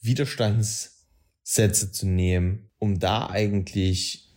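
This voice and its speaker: A man's voice: fairly low pitch, fairly even intonation, takes it slowly, darkish tone. A man with a Saxon German accent chatting casually on a podcast, rambling, correcting himself and using blunt slang. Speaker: podcast co-host. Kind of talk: casual chat.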